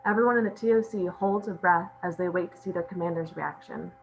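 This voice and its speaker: A woman's voice: on the low side, fast. A woman reading out stage directions as scripted narration at a table read. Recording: muffled audio with clear hum.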